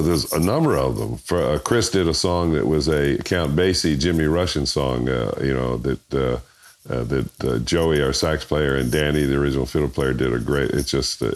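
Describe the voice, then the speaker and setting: Older man, bandleader, very deep bass voice, chuckling, rambling interview chat. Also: normal speed.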